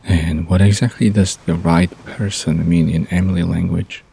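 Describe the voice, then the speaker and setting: A man's voice: sits low, steady tone, normal speed, vocal fry, warm tone, breathy edge, heavily acted. A man playing an amused, teasing young man with a laid-back manner in a romantic audio drama.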